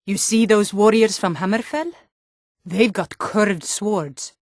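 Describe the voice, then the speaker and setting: A woman's voice: medium pitch, slow pace, a little mellow, dry recording. Blunt, tough Nord guardswoman, dry recording. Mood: matter-of-fact. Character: tough, wary Nord female city guard, plain-spoken. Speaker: video game voice actor. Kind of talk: scripted fantasy game dialogue in short standalone lines.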